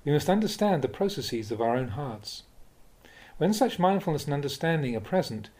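A man, a Buddhist monk, reading spiritual teachings aloud in a measured, deliberate, instructive way, like an audiobook of a talk. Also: fairly high pitch, sing-song, darkish tone. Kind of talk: audiobook-style reading of a dharma talk with questions and answers.

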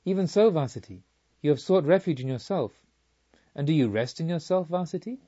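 A solemn, serene divine teacher from a storybook, voiced by a man, gently probing in a mellow tone. The delivery is stately and deliberate, on a slightly dull recording. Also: fairly high pitch, lively intonation. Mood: solemn approval.

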